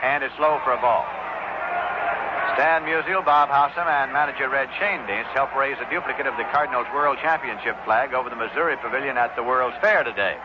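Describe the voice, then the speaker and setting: A man's voice: medium pitch, a little fast. A man calling baseball play-by-play as a live radio commentator. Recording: dull old radio broadcast, loud crowd noise. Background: loud stadium crowd.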